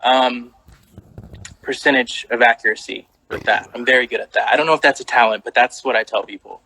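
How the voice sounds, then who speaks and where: A man's voice: mid-range voice, brisk, poor audio. A man interviewing a guest in casual chat, hesitant with many fillers. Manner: lively, hesitant with many likes and ums.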